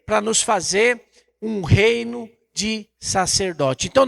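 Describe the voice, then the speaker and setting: High-pitched, animated Brazilian man preaching. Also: gravelly. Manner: emphatic, didactic, rhetorical questions and repetition. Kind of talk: Bible teaching sermon.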